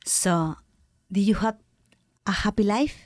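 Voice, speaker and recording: A woman hosting a podcast interview, asking questions gently in non-native English phrasing. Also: mid-range voice, slow pace, no echo, clean studio sound.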